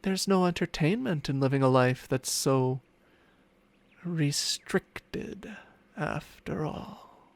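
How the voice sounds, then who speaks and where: A man's voice: fairly high pitch, takes it slowly, creaky. A man voicing a smug, seductive ancient dragon in humanlike form for an ASMR roleplay, teasing and haughty in formal words, with murmurs that slip into whispers. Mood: intimate, teasing. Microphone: close microphone, dry sound.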